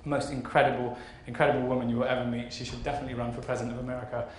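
A man's voice: mid-range voice, flat delivery, racing. A man aged thirty giving an informal, enthusiastic conference keynote in a conversational way. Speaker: keynote speaker, fashion marketing executive. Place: large conference auditorium stage. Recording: roomy hall echo, faint background noise.

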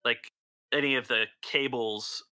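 Bright, forceful man running a game. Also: medium pitch, fairly even intonation, a little slow, smooth, no echo. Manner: descriptive, conversational. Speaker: game master. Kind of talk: improvised tabletop game narration.

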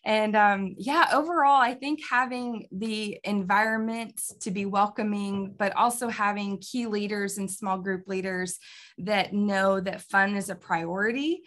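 A woman's voice: mid-range voice, fairly even intonation, measured pace, fairly bright tone. A young woman, a kids ministry pastor, answering interview questions in an upbeat, casual way and laughing often, on a video call from a small office. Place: small office with bookshelves, indoors. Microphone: video call webcam.

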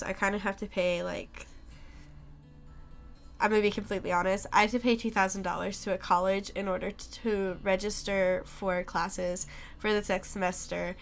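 A young woman talks to her stream in a loose, rambling way, filling pauses with ums. She is sick and her voice comes and goes. Soft music plays faintly behind her. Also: medium pitch. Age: young adult. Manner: chatty, rambling, many ums. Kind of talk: casual live-stream chat.

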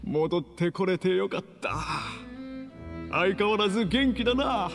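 An actor playing a courteous, composed gentleman bodyguard in an anime game, measured and polite. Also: high voice, lively intonation, music in the background. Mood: friendly.

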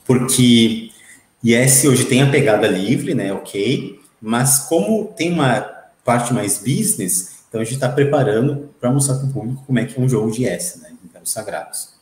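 A man's voice: mid-range voice, moderate pace, echoey room, low-quality recording. A man, a guest telling rambling stories with plenty of fillers on a video call from home through an earphone mic. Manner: rambling, lively storytelling with many fillers. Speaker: interview guest, game writer. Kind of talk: casual video-call interview. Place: small room at home, indoors.

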